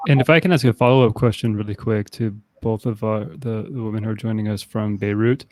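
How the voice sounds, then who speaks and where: A man's voice: on the low side, fairly even intonation, fairly quick, darkish tone, no room sound. A man co-hosting a podcast panel, moderating with careful, wordy questions. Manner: careful, wordy, managing time.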